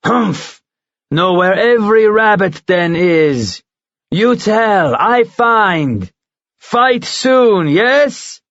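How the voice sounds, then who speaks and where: A man's voice: high-pitched, lively intonation, very slow, a bit bright, no echo, pitched above the performer's own voice, exaggerated voice. A man voicing a giant male eagle who talks in short, broken phrases and is eager to fight. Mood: gruff eager. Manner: broken, clipped speech. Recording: dull lo-fi recording.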